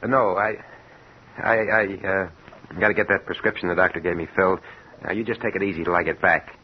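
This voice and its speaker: An actor playing an urgent, devoted working-class husband in 1950s radio drama style, on a muffled, hissy old recording. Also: male voice, low voice, steady tone, brisk, lightly acted. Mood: falsely reassuring. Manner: urgent, insistent, colloquial.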